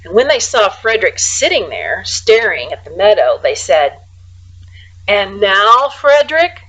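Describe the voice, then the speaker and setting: A woman reading a children's storybook aloud like a teacher, expressively, with casual, hushed asides. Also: on the low side, sing-song, moderate pace, husky, poor audio.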